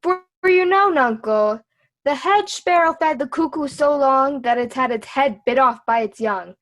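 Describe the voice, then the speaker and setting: A woman's voice: high voice, animated, measured pace, fairly bright tone. A woman playing a witty, cheeky court jester in a Shakespearean reading, with a mocking, sing-song delivery.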